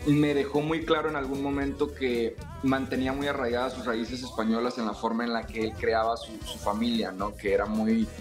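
Young man, video-call guest, mid pitch, halting and reflective, faint music bed. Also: level tone. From Sonora, Mexico. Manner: reflective, halting with many restarts. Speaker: singer and songwriter, interview guest. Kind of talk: casual interview. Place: home room.

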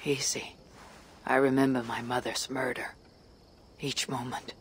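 An actor playing a solemn, resolute Viking warrior leader in a video game, speaking in a measured way. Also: male voice, mid-range voice, level tone, slight background noise, natural voice. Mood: solemn, reflective. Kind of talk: dramatic video game cutscene acting.

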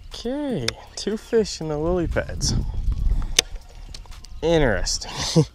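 A man's voice: a little high, slow, loud music behind the voice. A young man fishing alone from a small boat on a lake, chatting to the camera in a running commentary. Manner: muttering to himself, then excited and laughing. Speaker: fishing vlogger. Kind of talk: solo outdoor fishing commentary. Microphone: camera mic on the boat, wind and water around.

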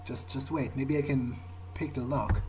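A man's voice: medium pitch, moderate pace, noisy background. A man doing in-character roleplay commentary over a video game, thinking aloud and often repeating words hesitantly. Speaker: gaming video player.

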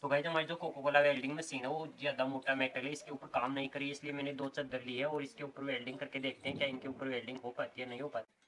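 A man's voice: medium pitch, level tone, fast, a bit bright, a little hiss or hum, rough recording. A man in his twenties hosts an experiment video outdoors on a rooftop, talking to his viewers as "guys" and explaining each step of the setup. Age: 20s. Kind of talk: casual experiment vlog narration. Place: outdoors on a sunny rooftop terrace.

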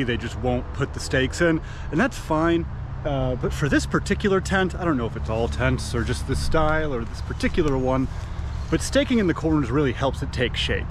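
A man in his thirties with an American accent, chatting casually as a camping vlogger in the woods, keeping his voice down. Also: medium pitch. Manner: relaxed and chatty, quiet to stay unnoticed. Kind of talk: casual vlog narration. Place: outdoors in a wooded lot. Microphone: action camera on a tripod nearby. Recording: outdoor camera audio with clear traffic noise.

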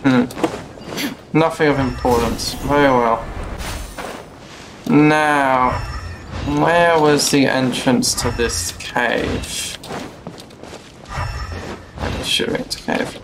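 A young man giving casual, unhurried gameplay commentary, musing to himself as he plays. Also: medium pitch, background noise. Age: young adult. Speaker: game streamer. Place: small room indoors at home. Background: game music.

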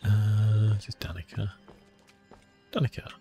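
Low, creaky male ASMR gamer. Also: flat delivery, measured pace. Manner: hesitant, thinking aloud. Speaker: gaming video host. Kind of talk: relaxed ASMR gameplay commentary. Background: soft game music.

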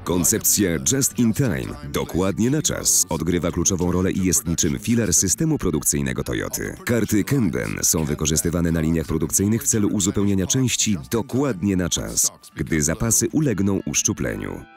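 A man reading a scripted corporate narration in a measured, formal and articulate manner, recorded in a studio. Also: sits low, big pitch swings, gravelly, darkish tone. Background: faint music.

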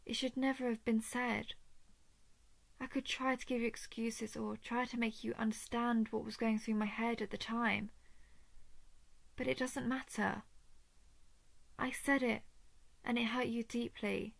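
A woman playing a lovestruck female dragon, possessive yet tender and remorseful, speaking softly and hesitantly with breathy whispers in an intimate roleplay audio. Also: on the high side, flat delivery, a little slow, pauses often, no echo. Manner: soft, hesitant, often dropping into breathy whispers.

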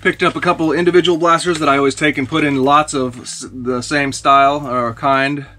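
A man in his forties casually talking through thrifted toys in a small shelf-lined room, relaxed and rambling with many fillers. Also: on the high side, brisk, a little hiss or hum. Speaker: toy reseller vlogger. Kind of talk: casual haul video. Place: small indoor toy room lined with shelves.

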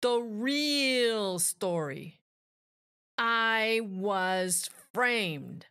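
A woman voicing a self-pitying, slyly innocent male wolf in a theatrical, deliberate children's storybook read-aloud. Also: mid-range voice, lively intonation, sharp tone, clean tone, full character voice. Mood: wry, self-justifying.

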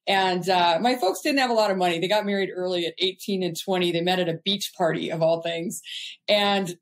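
A woman's voice: fairly low pitch, fairly quick. A middle-aged woman from California, a business guest, telling her life story in a chatty, upbeat way with fillers. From California, USA. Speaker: guest entrepreneur. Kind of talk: casual interview storytelling.

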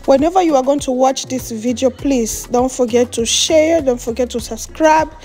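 A woman's voice: high voice, normal speed, a little mellow, soft background music. A woman who speaks English as her second language, chatting casually through a home cooking vlog, laughing often and searching for words. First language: French or Swahili. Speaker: cooking vlogger. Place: outdoors on a patio and in a home kitchen.

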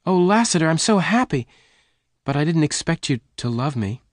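An anxious woman rancher of the Old West, voiced by a male reader, pitched up from his own voice. She is earnest, emotional and pleading, in a dry storybook recording. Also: moderate pace, no room sound, natural voice. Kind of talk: audiobook character dialogue.

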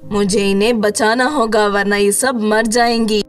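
A woman's voice: mid-range voice, fairly even intonation, a little slow, soft background music, close to an everyday voice. A woman voicing a kind, earnest young female witch in a cartoon story. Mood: worried.

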